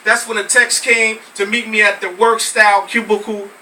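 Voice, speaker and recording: An American man from Brooklyn performing spoken word poetry live, with emphatic, deliberate phrasing. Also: sits high, little pitch movement, pushed and bright. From Brooklyn, New York, United States. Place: live poetry venue with small audience. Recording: noisy, distant amateur recording of live venue.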